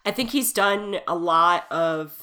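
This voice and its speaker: A woman's voice: lowish voice, takes it slowly, bright, forceful tone. A woman co-hosting a podcast with a stuffed-up nose from allergies, chatting and giggling about a movie. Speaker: podcast co-host. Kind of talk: casual movie review chat.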